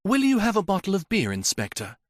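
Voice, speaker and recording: A man reading an audiobook who voices a poised, faintly spiteful young widow in a high, lilting voice. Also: wildly swooping pitch, a little fast, no room sound, studio quality. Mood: sly. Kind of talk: audiobook character voice.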